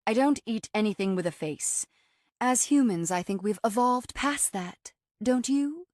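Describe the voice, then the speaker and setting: A woman voicing a haughty, earnest and humorless raw-vegan woman in an audiobook, with precious, drawn-out phrasing. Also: fairly low pitch, darkish tone, no room sound, clean studio sound.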